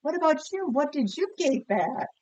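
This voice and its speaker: A woman's voice: high voice, big pitch swings, normal speed, darkish tone, muffled recording. A woman teacher leading a read-aloud vocabulary lesson with children, encouraging and questioning, enunciating words for pupils to repeat.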